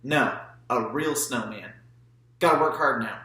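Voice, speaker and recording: A man's voice: medium pitch, a little slow, pushed and bright, echoey room. A man voicing a confident, bossy young Southern boy in an audiobook, giving instructions and cautions. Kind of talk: audiobook character voice.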